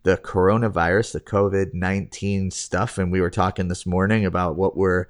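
Male podcast host, low-ish voice, rambling and self-deprecating, casual chat. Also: flat delivery, normal speed.

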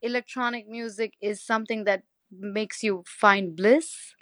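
A woman's voice: medium pitch, fairly even intonation, unhurried, fairly bright tone, no room sound, clean studio sound. A woman from India, a singer explaining things emphatically in an interview. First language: Gujarati. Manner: emphatic, explanatory, spells words out. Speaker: guest singer. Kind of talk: interview conversation.

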